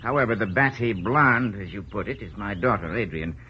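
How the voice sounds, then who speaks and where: A man's voice: lowish voice, a little fast, sharp tone. An actor playing a wealthy, fretful middle-aged father in 1940s radio style, hesitant and fussy. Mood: uneasy. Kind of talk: 1940s radio drama acting. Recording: old radio recording, faint noise.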